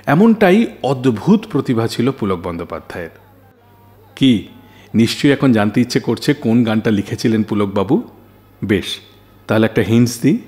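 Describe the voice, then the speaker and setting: A man's voice: mid-range voice, darkish tone. A man hosting a radio show, telling scripted stories in a dramatic way and putting questions to listeners.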